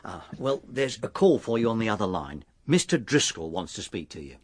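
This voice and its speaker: A man's voice: on the low side, very animated, brisk, no room sound. An actor playing a deferential, plain-spoken British male warder in a radio drama, matter-of-fact in manner. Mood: apologetic, informing.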